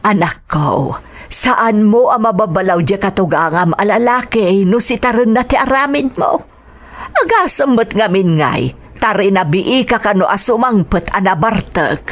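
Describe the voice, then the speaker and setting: Lady acting worried mom scolding her grown son, ilocano drama, mid pitch, emotional. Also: animated, measured pace. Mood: reproachful, worried.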